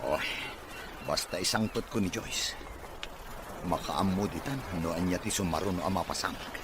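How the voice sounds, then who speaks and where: A man's voice: low-pitched, normal speed, natural voice. A man acting a well-off boss and devoted lover in a Filipino radio drama, with a Filipino accent. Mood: concerned, insistent. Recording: noisy radio drama with ambient sound.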